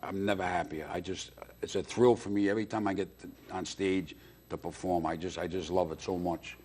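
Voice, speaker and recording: A man's voice: low voice, steady tone, fairly quick, a little mellow, breathy edge. A middle-aged man chatting casually as a talk-show guest, enthusiastic and often repeating himself for emphasis. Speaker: guest, stand-up comedian and booker.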